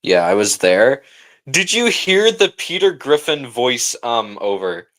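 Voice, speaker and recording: A man hosts a livestream in a medium-pitched voice. His talk is loose and informal, full of slang, like someone chatting with friends and viewers. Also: big pitch swings, a little slow, fairly bright tone. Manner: informal slangy talk, often saying bro. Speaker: livestream host. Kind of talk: casual livestream chat, reacting to a film.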